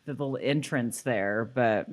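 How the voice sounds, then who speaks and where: A woman's voice: extremely low pitch, unhurried. A woman co-hosting a podcast, chatting casually in an informal, agreeable way. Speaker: podcast co-host. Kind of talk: casual rewatch podcast chat.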